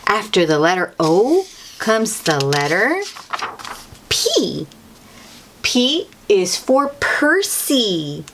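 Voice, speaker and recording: A woman's voice: medium pitch, sing-song, drawn-out words, pauses often, a bit bright, slight background noise. A woman hosting a children's read-aloud, reading a picture book in a teacherly way, repeating and stressing key words and talking directly to the young listener.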